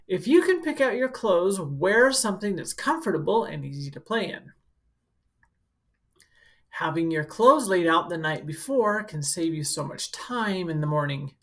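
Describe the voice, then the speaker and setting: Woman with creaky voice reading to kids. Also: on the low side. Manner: simple, instructive phrasing aimed at young children. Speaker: school counselor reading to students. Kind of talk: children's picture book read-aloud with casual explanatory asides.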